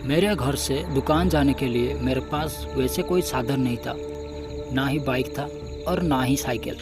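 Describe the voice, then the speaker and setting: A man narrating a scripted first-person horror story in a dramatic, suspenseful way with deliberate pauses. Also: medium pitch, over music.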